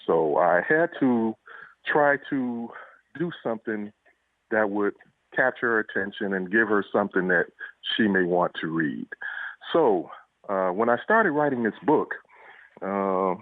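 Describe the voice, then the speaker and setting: A man's voice: fairly low pitch, lively intonation, unhurried, no echo. A middle-aged man answering interview questions conversationally, telling his story in a reflective, somewhat hesitant way over a phone. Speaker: interview guest, police officer and author. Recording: tinny narrow phone line.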